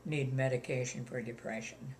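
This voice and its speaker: An older woman with a gravelly voice, chatting plainly about her life in an interview, with many ums. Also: a bass voice, fairly even intonation, normal speed, over a noisy crowd, low-quality recording. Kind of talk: casual reminiscing chat.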